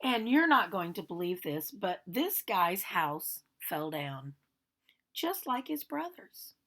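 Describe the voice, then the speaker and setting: Lady doing a big bad wolf storybook narrator, super swoopy and bright, takes his time, swears he's innocent. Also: fairly low pitch, big pitch swings, slow pace, heavily acted. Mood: self-justifying, chatty. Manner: chatty, theatrical, protesting innocence. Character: aggrieved, self-justifying male big bad wolf telling his story. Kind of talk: animated storybook read-aloud.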